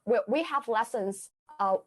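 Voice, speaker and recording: Young Chinese-accented woman, halting. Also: fairly high pitch, expressive. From China. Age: young adult. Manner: halting, self-correcting, laughs often. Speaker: student guest. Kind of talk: casual podcast conversation.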